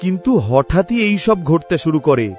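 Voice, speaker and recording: A man's voice: on the high side, animated, moderate pace, darkish tone, faint music behind, natural voice. A man playing a burdened, sorrowful husband and father in a melodramatic cartoon. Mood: troubled.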